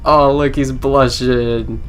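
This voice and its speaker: A man's voice: medium pitch, fairly even intonation, slow, a bit bright, low-quality recording. A man reads a visual novel aloud as a let's play host. He slips between narration and exclaimed asides to himself, with some noise in the background. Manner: reacts with exclamations and asides while reading. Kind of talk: game commentary and reading story text aloud.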